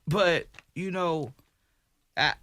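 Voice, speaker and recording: A man hosting a radio show, speaking in a calm, measured and reflective way in the studio. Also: fairly high pitch. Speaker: radio host. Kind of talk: radio interview.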